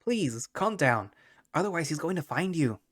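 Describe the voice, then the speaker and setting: A man's voice: fairly high pitch, big pitch swings, normal speed, a bit bright, pitched above the performer's own voice. Man doing a chipper clingy furry creature. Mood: eager. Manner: chipper, pleading. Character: eager devoted furry male creature, clingy.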